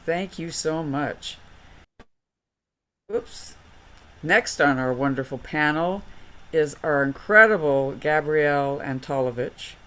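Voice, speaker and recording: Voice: medium pitch, slow pace, pauses often, fairly bright tone. A panel moderator who thanks and affirms each speaker with effusive praise.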